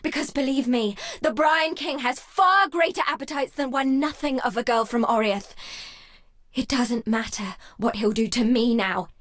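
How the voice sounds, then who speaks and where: An actress playing a devout, weary young woman healer exiled on a grim coast in a fantasy game, speaking in a solemn, measured, wry way. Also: a little high, fairly bright tone, no room sound, lightly acted. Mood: desperate, grave. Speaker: non-player quest giver. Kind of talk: dramatic fantasy game dialogue.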